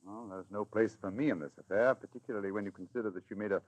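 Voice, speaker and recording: An actor with a British accent playing a cool, analytical gentleman detective in 1940s radio drama, clipped, precise and formal. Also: lowish voice, rapid-fire, close to an everyday voice. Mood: probing, detached. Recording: old radio broadcast.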